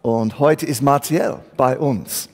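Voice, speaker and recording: Slow-spoken English man preaching in German. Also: medium pitch, gravelly, a little mellow, in a large hall. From England. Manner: deliberate, searching for German words, mixing in English. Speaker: guest preacher. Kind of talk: sermon. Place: church hall.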